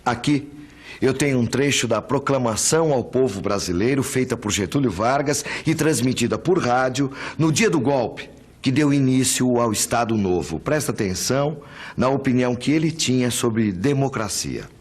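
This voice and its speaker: A Brazilian man presents educational material in a husky, mid-pitched voice. His delivery is that of a presenter reading and explaining to viewers. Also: lively intonation, moderate pace.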